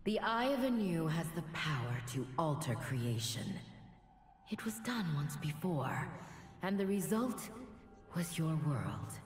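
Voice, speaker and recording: A solemn female archangel from a fantasy game. She speaks slowly and formally in a lowish voice, with grave authority. The sound rings with echo. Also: very animated, faint music behind. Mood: grave, urgent. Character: solemn, commanding female archangel guiding a mortal. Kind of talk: scripted fantasy game dialogue. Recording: echoey reverberant hall effect.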